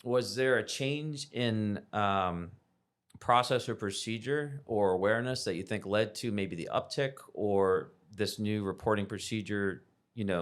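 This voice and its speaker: An American man hosting a conversational interview, speaking in measured, thoughtful phrases. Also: fairly low pitch. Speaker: podcast host, interviewer.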